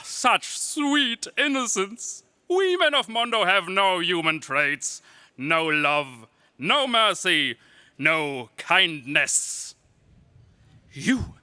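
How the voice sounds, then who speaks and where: A man playing a cruel, imperious alien emperor in a 1930s radio serial style, with a menacing, pompous, drawn-out delivery. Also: high-pitched, pushed and bright, no room sound, heavily acted.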